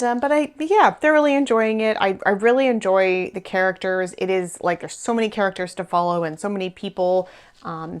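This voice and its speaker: A woman in her thirties or forties hosting a knitting podcast, chatting casually and rambling with small laughs, her voice a little worn. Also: fairly low pitch. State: losing her voice a bit. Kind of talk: casual chat to camera.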